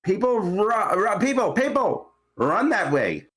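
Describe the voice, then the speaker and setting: A woman's voice: medium pitch, very animated, measured pace, fairly bright tone, husky, exaggerated voice. A woman playing a squeamish, flustered young witch in training at a screenplay table read.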